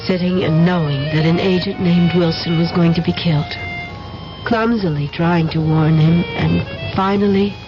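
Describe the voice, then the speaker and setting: An actress playing a resolute, sorrowful woman spy in a radio drama, speaking gravely and deliberately. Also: fairly low pitch, steady tone, close to an everyday voice. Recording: dull vintage radio recording with music.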